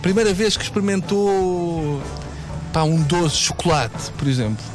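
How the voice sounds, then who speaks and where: A man, opening up about something heartfelt. Music plays clearly beneath his words. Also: high voice, lively intonation, unhurried. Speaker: interviewee. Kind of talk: heartfelt interview talk. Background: background music.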